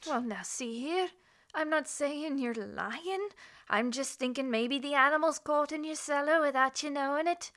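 Feisty policewoman neighbor, radio drama. High, sharp voice, garrulous and colloquial. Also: moderate pace. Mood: indignant, insistent. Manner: folksy, garrulous, colloquial, chuckling. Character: chatty, nosy, feisty Irish-American policewoman neighbor. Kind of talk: radio play acting.

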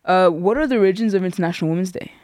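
A woman's voice: fairly low pitch, racing, darkish tone, no room sound. Young woman hosting, dry close sound. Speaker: podcast host. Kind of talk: casual podcast interviewing.

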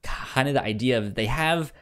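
A podcast co-host chatting casually about a show, often repeating words and restarting sentences in a halting way. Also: mid-range voice, fairly bright tone. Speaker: podcast co-host reviewing anime. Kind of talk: casual chat.

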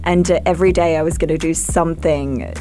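Woman, from Australia, interview guest, lower voice, earnest, reflective, faint music behind. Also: steady tone, brisk, mellow. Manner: earnest, reflective, occasional laughs. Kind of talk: conversational interview answers.

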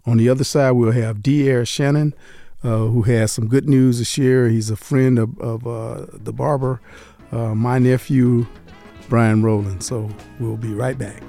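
An older man hosting a veterans' community radio show, chatting in a relaxed, easygoing way and laughing easily. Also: mid-range voice, unhurried, soft background music. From Las Vegas. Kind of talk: casual radio interview.

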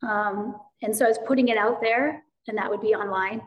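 A woman guest in casual conversation, outspoken and animated, talking quickly with many fillers. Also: medium pitch, low-quality recording. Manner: rapid, animated, lots of fillers; self-described loud voice, outspoken.

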